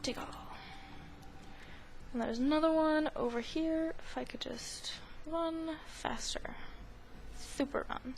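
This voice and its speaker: A woman's voice: high-pitched, slow pace, pauses often, a little hiss or hum. A woman doing casual, rambling gameplay commentary in a playful, giggly way as the host of a gaming video. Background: game sound.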